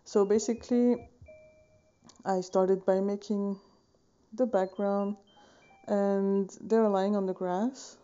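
Dark-voiced woman in her thirties chatting. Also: medium pitch, takes it slowly, clean tone, lo-fi sound. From Switzerland. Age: 30s. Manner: relaxed, rambling with many fillers and self-corrections. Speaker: painting vlogger. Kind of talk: casual tutorial chat. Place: home studio room, indoors, wooden shelves. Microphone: phone camera at desk distance.

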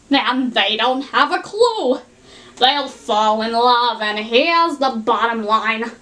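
A woman doing an impression of a wisecracking male cartoon meerkat, glum and theatrical with drawn-out delivery. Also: fairly high pitch, pushed and bright, poor audio.

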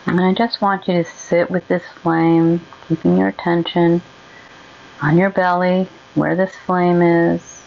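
A woman leading a guided meditation in a gentle, soothing, unhurried way with long pauses. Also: fairly low pitch, flat delivery, vocal fry, muffled recording.

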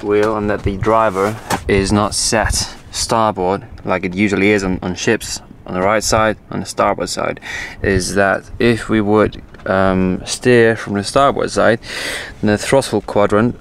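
A man's voice: fairly low pitch, creaky. A man presenting an informal walkthrough of his boat as its owner, explaining things casually and correcting himself as he goes. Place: outdoors on an open motorboat on the water. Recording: outdoor ambient noise on boat.

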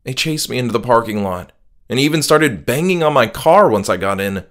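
A man's voice: mid-range voice, expressive, fairly quick, a bit bright, lightly acted. A man voicing a frightened, injured male friend who speaks in agitated, insistent protest in a horror audiobook. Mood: tense recounting. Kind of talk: horror audiobook character dialogue.

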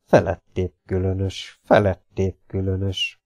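A man's voice: medium pitch, lively intonation, darkish tone, no room sound, studio quality, close to an everyday voice. A man voicing a meek, absent-minded old professor in an audiobook story, fussily polite and repeating himself in a mild mutter. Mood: muttering, preoccupied.

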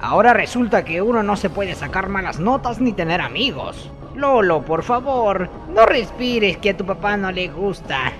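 A man's voice: high voice, lively intonation, moderate pace, hardly any pauses, over music. A man playing a sarcastic, scolding and mocking character in an educational radio play. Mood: mocking, goading. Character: scornful, mocking man, harsh scolder and tempter. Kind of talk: educational radio soap acting.

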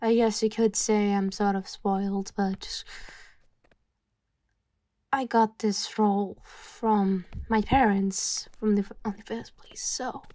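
A woman playing a calm, worldly mafia boss in an ASMR roleplay, speaking softly and intimately as she gently advises an employee. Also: medium pitch, slow pace, no echo, natural voice. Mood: wry, resigned.

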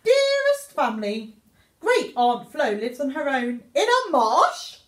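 Englishwoman, theatrical children's storyteller as a young meerkat, high-pitched and unhurried, roomy sound. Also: big pitch swings. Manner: exaggerated, theatrical delivery. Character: young male meerkat writing eager letters home. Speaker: teacher reading a picture book aloud. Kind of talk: expressive children's story reading. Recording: echoey room.

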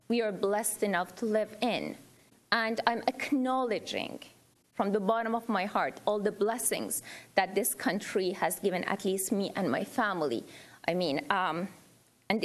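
A woman from Iran making earnest, emphatic impromptu remarks at a public forum, repeating phrases for stress. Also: mid-range voice. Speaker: audience speaker at a public forum.

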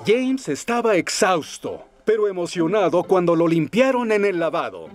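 Man narrating a children's cartoon, lively. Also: sits high, normal speed, soft background music.

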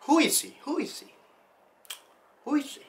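A young adult man talking casually to camera as a tutorial host, in an animated, jokey, excitable manner. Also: very high voice, normal speed. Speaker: host of video-effects tutorials. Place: small indoor studio room.

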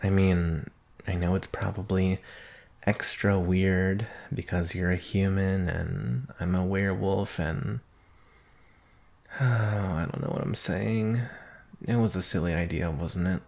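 Man as young werewolf student, shy, hesitant, gravelly fry, unhurried delivery, intimate ASMR roleplay. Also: sits low, steady tone, darkish tone, heavily acted. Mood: bashful, awkward. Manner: hesitant, bashful, halting, sighing.

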